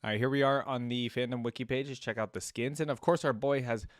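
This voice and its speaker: A man giving casual gaming reaction commentary as a host, rambling and thinking out loud as he goes. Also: medium pitch, steady tone, quick pace, no room sound.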